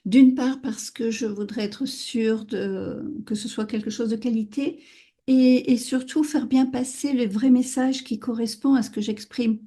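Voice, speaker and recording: Woman podcast host, native French speaker, dark mellow tone, musing hesitant delivery. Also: fairly high pitch, fairly even intonation, a little slow. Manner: warm, thoughtful, hesitant with frequent fillers. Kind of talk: casual conversational interview.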